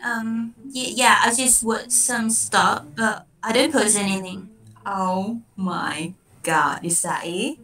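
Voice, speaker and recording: A woman in her twenties from Thailand, Thai native, giving short, soft replies on a video call. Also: mid-range voice, steady tone, slow. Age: 20s. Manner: soft, brief replies, giggly. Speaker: stranger on video chat. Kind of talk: casual chat. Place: room at home, indoors. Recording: echoey room, far from mic.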